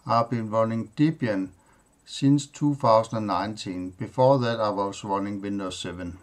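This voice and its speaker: A man whose first language is Danish, hosting a tech tutorial and walking through his computer setup in a plain, halting way, listing items with pauses and fillers. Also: lowish voice. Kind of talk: screen-recorded software walkthrough.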